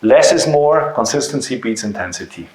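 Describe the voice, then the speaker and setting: A man's voice: medium pitch, lively intonation, moderate pace, a little mellow. A man with a German accent, a native German speaker, presenting informally to a seminar audience in a didactic way, with short emphatic phrases and rhetorical questions. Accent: German-speaking European. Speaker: seminar presenter, company founder. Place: indoor seminar room. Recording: very echoey, reverberant room.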